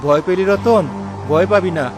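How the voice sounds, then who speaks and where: A man's voice: high-pitched, moderate pace, music in the background, lightly acted. A man voicing a poor, determined fisherman husband in a cartoon, speaking in an earnest, dramatic way. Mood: resolute.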